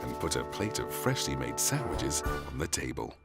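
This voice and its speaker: A man narrating a scripted children's story in an expressive, deliberate storytelling manner. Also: rumbling low, vocal fry, over loud music.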